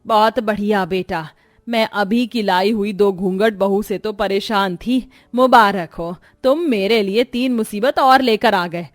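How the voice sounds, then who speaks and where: A woman's voice: medium pitch, a little slow, no echo, studio quality, natural voice. A woman voicing a strict, middle-aged mother-in-law in a moral-story cartoon, indignant and domineering as she lectures. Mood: outraged.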